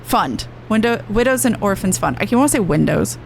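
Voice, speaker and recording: A woman's voice: medium pitch, animated, brisk, gravelly, rough recording. A woman game streamer reading dialogue aloud with chatty reactions, stumbling and correcting herself as she goes. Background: video game music.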